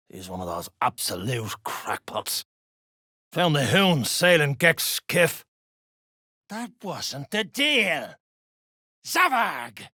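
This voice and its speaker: An actor playing a foul-mouthed, surly duergar dwarf fighter in a video game, with a rough, husky voice and coarse slang. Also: male voice, medium pitch, a bit bright, dry recording, clean studio sound, full character voice. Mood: angry, threatening. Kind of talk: video game character lines.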